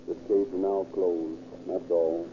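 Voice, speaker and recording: Low-voiced male police dispatcher. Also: flat delivery, a little slow. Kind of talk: clipped formulaic dispatch call. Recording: muffled, noisy 1930s radio recording.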